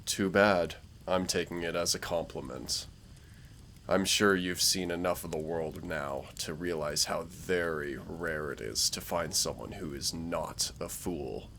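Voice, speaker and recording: A man playing a smug, taunting dark warlord villain in a roleplay audio drama, speaking in a theatrical, dryly mocking way. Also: extremely low pitch, gravelly, slight background noise, heavily acted. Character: dark warlord villain, younger-looking, sardonic, smug, taunting Lord of Nightmares. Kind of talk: roleplay audio drama, intimate scripted monologue.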